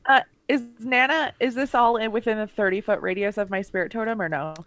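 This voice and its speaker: A woman's voice: fairly high pitch, a little fast, a bit bright, no echo. A woman player chatting casually about rules and rolls during a tabletop game.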